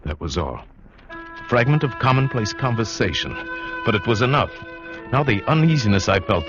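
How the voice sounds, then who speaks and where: Radio drama lead: earnest, uneasy yachtsman, dramatic delivery, deeper register, dull old broadcast recording. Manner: brooding, dramatic. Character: earnest, uneasy seafaring man narrating his adventure. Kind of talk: 1940s-50s radio drama narration and dialogue. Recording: muffled vintage radio, music behind.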